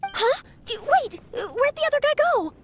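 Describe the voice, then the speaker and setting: A woman voicing an eager, determined young reporter in an animated game, brisk and confiding. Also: very high voice, heavily acted. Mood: alarmed. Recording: faint music behind the voice.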